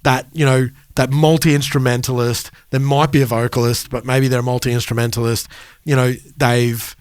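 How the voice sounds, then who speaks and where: A man's voice: medium pitch, flat delivery, moderate pace, clear, resonant tone, no room sound, studio quality. A man co-hosting a music podcast, talking in a casual conversational style. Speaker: podcast co-host.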